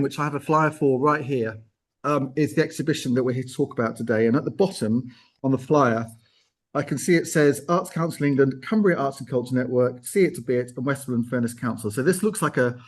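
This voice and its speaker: A man hosting an informal online arts show, speaking enthusiastically with rambling, chatty asides. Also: mid-range voice. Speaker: host.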